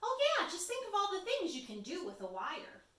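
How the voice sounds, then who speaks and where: A woman's voice: very high voice, wildly swooping pitch, a little fast, fairly bright tone, voice pushed up, heavily acted. A woman voicing an eager, chummy, folksy squirrel who exclaims in a read-aloud storybook. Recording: roomy echo, distant microphone.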